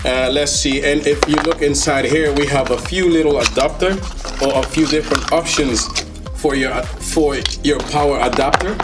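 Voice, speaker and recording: Playful man with a higher-pitched voice, music behind. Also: steady tone, normal speed. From Netherlands. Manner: playful, enthusiastic, jokey, slangy. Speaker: tech reviewer, video host. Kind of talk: casual unboxing commentary.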